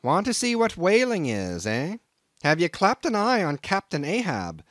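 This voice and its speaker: A man voicing a gruff, suspicious old whaling captain who blusters in archaic thee-and-thou speech. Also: high-pitched, huge pitch swings, unhurried, a bit bright, no room sound, clean studio sound, pitched above the performer's own voice, exaggerated voice. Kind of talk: audiobook character voice.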